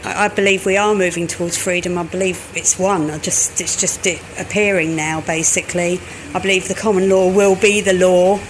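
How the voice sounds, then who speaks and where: Hopeful woman thinking out loud. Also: on the low side, fairly even intonation, a little fast, hardly any pauses, a little hiss or hum, rough recording. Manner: hopeful, repetitive, thinking aloud. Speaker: interviewer. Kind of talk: casual interview chat.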